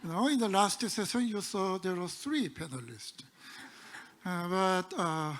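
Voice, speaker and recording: A man moderating a conference panel, measured and hesitant with frequent fillers and restarts. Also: high voice, fairly bright tone.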